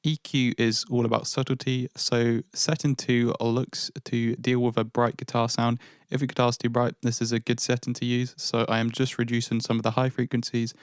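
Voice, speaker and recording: Flat, gravelly man explaining guitar EQ. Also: moderate pace, no echo, studio quality. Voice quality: slightly nasal, thin from low cut. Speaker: guitar pedal reviewer. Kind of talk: casual explanatory voice-over.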